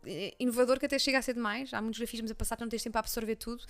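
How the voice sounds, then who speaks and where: A young adult woman journalist with a Portuguese accent from Leiria, talking animatedly in an interview with frequent restarts. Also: on the high side. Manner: fast, animated, many restarts and fillers. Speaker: journalist guest. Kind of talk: conversational interview.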